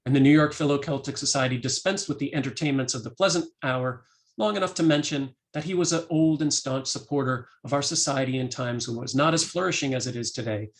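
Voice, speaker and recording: A man, an academic lecturer, reading a prepared talk in a measured way and voicing quoted passages. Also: mid-range voice. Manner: measured, reads quotations aloud.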